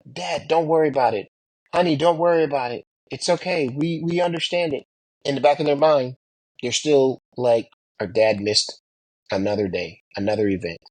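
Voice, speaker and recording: American man, middle-aged, husky voice, close dry sound, emphatic storyteller, rhetorical questions. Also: medium pitch, a little mellow, no room sound, low-quality recording. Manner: emphatic, repeats phrases and poses rhetorical questions. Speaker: military veteran and pilot sharing experiences. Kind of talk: anecdotal storytelling about personal experience.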